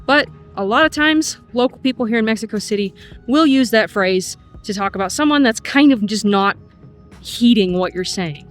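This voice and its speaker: Woman, middle-aged, friendly language teacher, outdoor walk-and-talk, soft music under. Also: fairly high pitch, animated. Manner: friendly explainer, slows to model phrases. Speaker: Spanish teacher. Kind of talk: casual vlog lesson on a walk. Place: outdoors, walking in a city.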